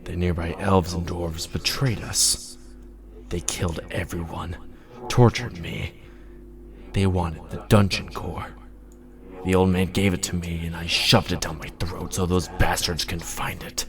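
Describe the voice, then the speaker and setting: A man acting an amnesiac, foul-mouthed former magical fabricator in an audio drama, coaxing himself along, swinging between muttered focus and excited outbursts. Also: sits low, measured pace. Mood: bitter, grim.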